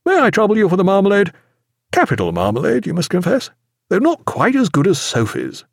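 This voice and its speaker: A man's voice: high-pitched, huge pitch swings, a little fast, vocal fry, no room sound, clean studio sound, pitched above the performer's own voice, full character voice. A man voicing a cheerful, nonchalant sea captain in an audiobook, with a hearty, breezy manner. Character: cheerful, unruffled male sea captain at breakfast.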